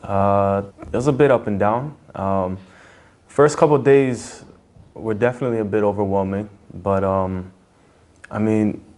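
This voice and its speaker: A man's voice: on the low side, a little slow, darkish tone. A man from Canada, a foreign teacher supervisor, answering interview questions casually with many fillers and restarts.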